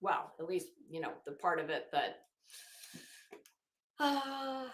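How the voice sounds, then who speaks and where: An American woman hosting a livestream, chatting casually with viewers in a sarcastic, blunt, sweary and animated way. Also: fairly low pitch, slow. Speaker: livestream host. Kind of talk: casual chat with live viewers. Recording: roomy, echoey sound.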